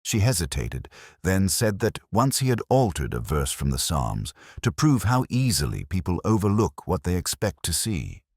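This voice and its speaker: A man reading scripted literary detective fiction in the first person, in a measured, brooding and formal manner. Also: sits low, very animated, breathy edge, dry recording, clean studio sound. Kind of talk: scripted literary audio drama narration.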